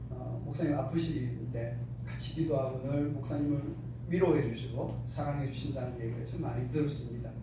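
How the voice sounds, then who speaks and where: Hoarse male preacher in an echoing church. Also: mid-range voice. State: hoarse from a throat cold. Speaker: guest preacher. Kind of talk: church sermon. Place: church sanctuary during Sunday service. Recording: very echoey church hall, distant microphone, noisy.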